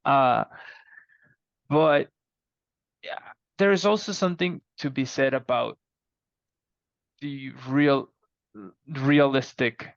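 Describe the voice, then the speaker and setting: A man with a creaky, gravelly voice at medium pitch talks casually as a podcast guest. The recording is dry and a little dull. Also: takes it slowly, pauses often, no room sound. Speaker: fiction author, podcast guest. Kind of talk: casual interview chat. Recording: slightly dull, lo-fi audio.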